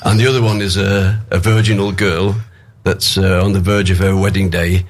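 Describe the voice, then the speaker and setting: An older man with a deep voice, a singer chatting as a guest in a radio studio, telling stories with many fillers and restarts. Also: little pitch movement, normal speed. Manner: storytelling, hesitant with fillers. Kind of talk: casual radio interview chat.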